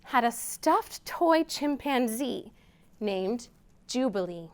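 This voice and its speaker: A woman's voice: fairly high pitch, expressive, takes it slowly, darkish tone. A woman hosting a storytime for young children, reading aloud expressively and prompting them with simple questions. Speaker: children's storytime host at a library.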